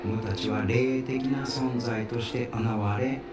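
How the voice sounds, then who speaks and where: A man's voice: medium pitch, fairly even intonation, normal speed, lightly acted. A man playing a reverent elderly local recounting festival lore in a scripted audio drama. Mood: reverent, informative. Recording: heavy echo, distant, under loud music. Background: loud music.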